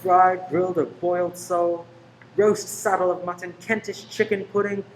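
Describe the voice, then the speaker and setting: A woman's voice: lowish voice, steady tone, moderate pace, close to an everyday voice. A woman playing a charming young man in a radio play, jaunty and inquisitive. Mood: playful. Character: charming, probing young man about town named Ted. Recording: noisy room recording.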